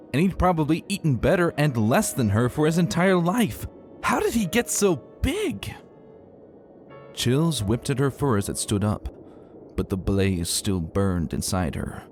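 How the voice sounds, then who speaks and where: A man narrating a dramatic story in an expressive, emphatic and colloquial way. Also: on the low side. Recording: soft music behind narration.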